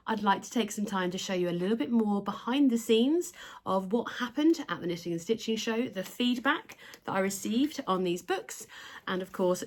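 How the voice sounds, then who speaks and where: A woman hosting her own crochet channel, talking straight to camera at home in a casual, enthusiastic way. Her voice is slightly nasal from a cold she is still getting over. She chats easily and shows things to the viewer while she talks. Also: mid-range voice. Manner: chatty, enthusiastic, showing items to camera. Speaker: crochet designer and channel host.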